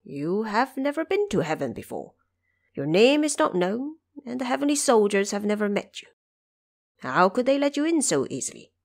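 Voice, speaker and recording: A woman voicing a courteous old male immortal envoy in an audio drama, formal and persuasive. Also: mid-range voice.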